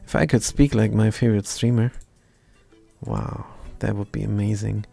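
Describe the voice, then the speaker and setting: Gravelly German man muttering while coding. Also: lowish voice, little pitch movement, normal speed, mellow, faint music behind. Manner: self-deprecating, wry, mutters half-finished lines. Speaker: live-streaming coder. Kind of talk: thinking aloud while coding, chatting with viewers.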